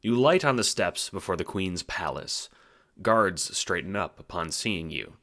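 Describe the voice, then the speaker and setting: A man narrating a scripted second-person story as an audiobook, with theatrical, expressive delivery. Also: sits low, a little slow, slight background noise.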